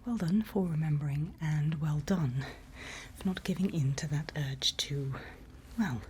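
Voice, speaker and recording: A woman playing a calm, reassuring monster hunter on night watch in a soft, whispered ASMR roleplay, speaking with long pauses. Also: low voice, a little hiss or hum. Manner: hushed, soft-spoken, slow with long pauses.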